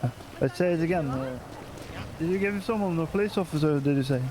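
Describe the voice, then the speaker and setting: A man with a Swedish accent chatting in character at a roleplay game, hesitant and full of pauses. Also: a little high, crowd noise. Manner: hesitant, many "uh"s. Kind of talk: casual in-character chat.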